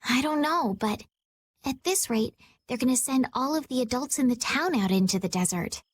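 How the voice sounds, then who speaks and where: An actress playing an earnest, compassionate young princess in a video game cutscene. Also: female voice, sits high, animated, normal speed, no room sound. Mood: pensive.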